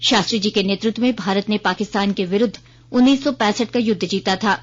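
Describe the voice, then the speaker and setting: Formal woman news reader, slightly dull radio sound. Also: medium pitch. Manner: formal, measured announcing. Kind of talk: scripted Hindi news bulletin reading.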